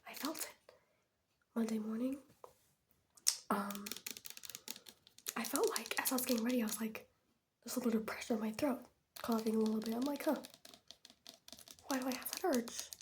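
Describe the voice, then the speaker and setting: A teenage girl whispering in a breathy voice, rambling casually and wryly in an ASMR storytime style with youthful slang. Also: on the high side, normal speed, pauses often, noisy background. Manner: chatty, wry, youthful slang. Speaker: ASMR host showing products.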